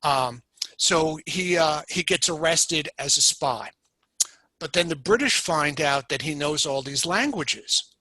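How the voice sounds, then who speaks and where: A man from the United States, a podcast guest, explains history in a measured, step-by-step storytelling way. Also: a little high, lively intonation, sharp tone, dry recording, low-quality recording.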